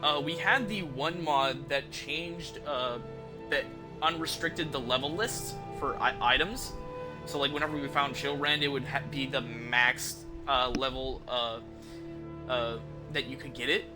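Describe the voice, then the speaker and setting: A man's voice: medium pitch, unhurried, over music. A man giving casual live stream commentary as a game streamer, talking in an informal, enthusiastic, rambling way.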